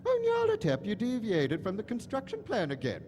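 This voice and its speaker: Casting: eccentric alien genius villain, high-pitched and gleefully disdainful, big theatrical stage delivery in a reverberant hall. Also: male voice, wildly swooping pitch, fairly quick, darkish tone. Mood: haughty, exasperated. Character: eccentric, flamboyant alien mad professor, gleefully callous toward humans. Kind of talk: live radio-play stage acting. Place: large hall stage at a convention. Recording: echoey hall stage recording, faint noise.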